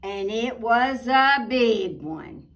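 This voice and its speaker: A woman reads a picture book to children in a lively, swooping voice. She takes it very slowly, pausing for drama and adding little asides to her listeners. She sounds set back from the microphone. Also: on the high side. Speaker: storybook reader. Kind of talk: animated read-aloud of a children's picture book. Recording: voice distant from the mic.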